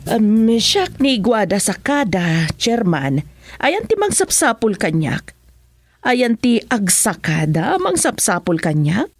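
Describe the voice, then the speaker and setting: A woman's voice: mid-range voice, very animated, takes it slowly, faint music behind, exaggerated voice. A woman acting a haughty, fussy, dismissive village woman in a radio comedy drama, with an Ilocano accent. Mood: expectant. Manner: exclaiming, dismissive.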